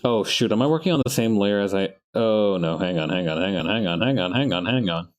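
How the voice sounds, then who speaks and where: A man's voice: lowish voice, animated, fairly quick. A man streaming his art, chatting casually and rambling to himself as he works, often restarting his sentences. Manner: rambling, self-correcting, talks to himself as he works. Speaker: artist streaming his work. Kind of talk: casual live-stream chat while drawing.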